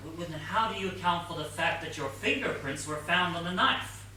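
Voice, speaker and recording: An actor playing an earnest, officious police inspector in a stage whodunit, questioning in a formal manner. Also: male voice, fairly high pitch, brisk, sharp tone. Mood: officious, probing. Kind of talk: amateur one-act stage play acting. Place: indoor theatre stage before an audience. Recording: echoey theatre stage from far, faint noise.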